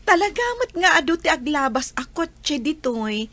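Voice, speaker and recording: Woman acting a high-voiced, skeptical young cousin. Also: animated, normal speed, fairly bright tone. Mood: teasing. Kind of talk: Ilocano comedy radio drama acting.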